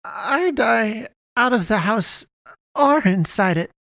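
Narrator acting out old village woman, raised pitch, stubborn, solemn, declaiming, storybook fantasy. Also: animated, slow, gravelly, fairly bright tone, no room sound, heavily acted. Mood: resolute. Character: defiant, devout old village mother, a granny.

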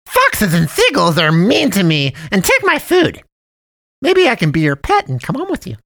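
Loud, squeaky, folksy storybook cat man, purring and sweetly cajoling, dry close sound. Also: wildly swooping pitch, moderate pace, no room sound, heavily acted. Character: jolly chubby male cat man of the dump.

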